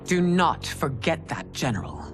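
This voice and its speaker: A woman's voice: sits low, animated, a little slow, music in the background. A woman playing a stern, regal empress in a video game, speaking formally and with cold authority. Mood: stern, reproachful. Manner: formal, commanding. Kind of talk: cinematic video game acting.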